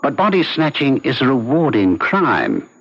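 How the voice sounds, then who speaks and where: An actor playing a cynical, domineering young medical student with a worldly manner in an old-time radio drama. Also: male voice, mid-range voice, huge pitch swings, normal speed. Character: charismatic, cynical young male medical student, commanding schemer. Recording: muffled old radio recording.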